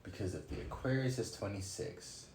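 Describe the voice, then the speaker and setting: A man's voice: fairly low pitch, lively intonation, moderate pace, vocal fry, a little mellow, slight background noise. A man in his late twenties ranting casually on a livestream while reading cards, thinking aloud with swearing and sudden exclamations. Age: 20s. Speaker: streamer doing a card reading. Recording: echoey room with faint ambience.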